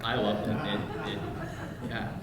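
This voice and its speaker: A man's voice: fairly low pitch, completely flat delivery, unhurried, a crowd in the background. A man actor giving casual remarks at a live Q&A, stammering and repeating his words. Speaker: actor at a table read answering questions. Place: live event room. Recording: very echoey room with noise.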